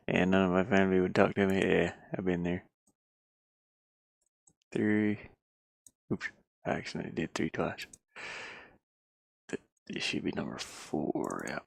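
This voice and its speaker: A man with a lowish, crackly voice full of fry, talking in a loose, informal ramble as he works. He mutters asides to himself, and the sound is close and dry. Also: dry recording. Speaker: streamer and hobby game developer. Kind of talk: casual rambling chat while working. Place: inside a van.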